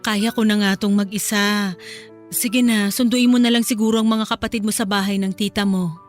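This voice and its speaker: A woman's voice: mid-range voice, fairly even intonation, normal speed, faint music behind, close to an everyday voice. A woman playing a weary, grieving widowed mother in an emotional radio drama. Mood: evasive, weary.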